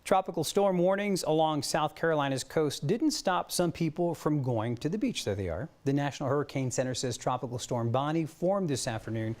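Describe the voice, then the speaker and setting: A man's voice: fairly high pitch, animated, fairly quick, few pauses, darkish tone. A man with an American accent anchoring the news, moving between broadcast delivery and light studio banter.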